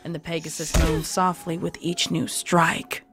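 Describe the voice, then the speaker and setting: A woman's voice: low-pitched, level tone, a little slow. A woman narrating a scripted dramatic story. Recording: prominent music under narration.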